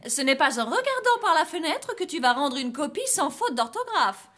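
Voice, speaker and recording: A woman's voice: sits high, big pitch swings, normal speed. A woman voicing a strict, formal schoolteacher in a monster cartoon. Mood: stern. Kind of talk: cartoon dub acting. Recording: loud music behind.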